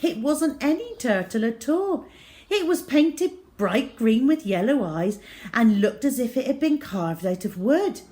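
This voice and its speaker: A woman storyteller reading a children's book aloud in the first person, with dramatic emphasis that moves between emphatic and hushed delivery. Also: fairly high pitch, sing-song, a little slow. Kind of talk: expressive first-person children's audiobook narration.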